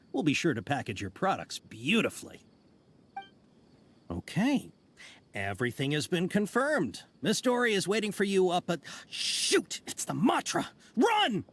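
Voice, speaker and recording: Wildly animated, courteous shady merchant. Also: male voice, fairly high pitch, husky, exaggerated voice. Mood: alarmed. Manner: courteous, salesmanlike, theatrical. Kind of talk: video game voice acting.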